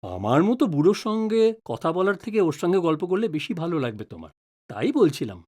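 A man's voice: fairly high pitch, sing-song, fairly quick, darkish tone. A man playing a patient, easily flustered middle-aged father who is wrongly accused in an audio drama. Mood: gently placating. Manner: stammers and repeats words when flustered. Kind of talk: Bengali audio drama acting.

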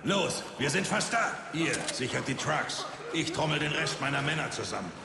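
An actor playing a commanding, hard-edged squad leader in a military video game, giving curt orders. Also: male voice, fairly high pitch, expressive, normal speed. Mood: brisk, commanding. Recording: echoey hall, clearly noisy.

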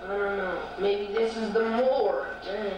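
A gloomy, resigned dead man acted by a man talks haltingly in an amateur drama. The sound is very echoey and far from the mic, with loud noise throughout. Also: high voice, fairly even intonation. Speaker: amateur actor in a hobby film. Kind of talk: slow improvised-sounding drama dialogue. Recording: noisy, echoey, distant homemade tape sound.

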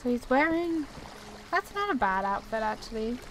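A woman's voice: a little high, sing-song, normal speed, a bit bright, a little hiss or hum, poor audio. A woman giving casual gameplay commentary, easygoing and rambling as she thinks aloud. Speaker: video game player and commentator.

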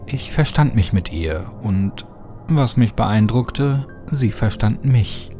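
A man reading a scripted first-person horror story dramatically, with brooding, deliberate phrasing. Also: lowish voice, animated, dark tone. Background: music.

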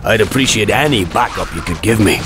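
A man playing a gruff, hearty older dwarf explorer in a video game. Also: on the low side, expressive, fairly quick, over music, lightly acted. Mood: earnest. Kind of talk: video game cutscene acting.

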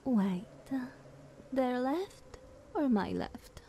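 A woman playing a dominant vampire sister in an ASMR roleplay, speaking softly and breathily with sweet condescension. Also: sits high, lively intonation, extremely slow, slight background noise, heavily acted. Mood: sweet, hungry. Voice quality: soft, breathy, often whispered.

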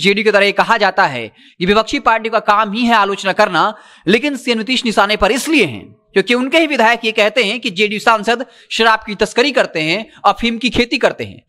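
A man. His voice sits high for a man and has a bright, forceful edge. He speaks emphatically and insistently, straight to the audience on camera. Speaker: news presenter. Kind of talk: news commentary, reporting to camera. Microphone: handheld microphone.

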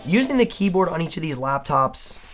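A man in his thirties with a hoarse, worn voice, giving an upbeat, chatty laptop comparison review at a studio desk. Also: a little high, big pitch swings, fairly quick, over music. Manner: upbeat, chatty, punctuates points with "okay?". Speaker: tech reviewer. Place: indoor studio desk, dim room.

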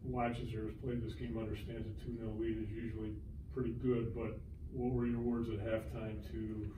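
A man's voice: lowish voice, level tone, normal speed. A man interviewing at a press conference, asking long, wordy questions. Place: small indoor conference room. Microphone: camera across the room. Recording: distant mic, echoey room, noisy.

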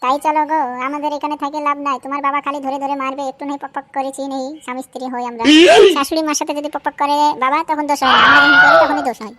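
A woman's voice: sits high, level tone, racing, bright, forceful tone. A woman voicing a nagging, melodramatic young village wife in a comedy cartoon. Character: young village wife, dramatic, wailing, scheming, affectionate. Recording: music behind the voice.

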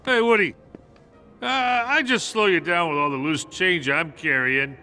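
A man playing a wry, casual piggy bank toy in an animated game, with an offhand manner. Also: on the high side, moderate pace, long reverb tail, faint music behind, exaggerated voice. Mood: easygoing.

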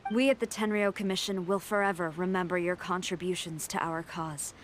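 A woman's voice: on the low side, fairly even intonation, brisk, slight background noise, lightly acted. Woman voicing a stern, formal military commander. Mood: formal, grateful. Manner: formal, composed. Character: stern, dutiful young female military commander. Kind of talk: video game voice acting.

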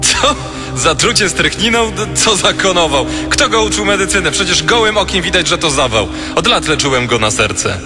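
A man's voice: sits high, sing-song, moderate pace. A man playing a blustering, scornful family doctor in an audio drama, indignant and dismissive. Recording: long hall reverb. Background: music.

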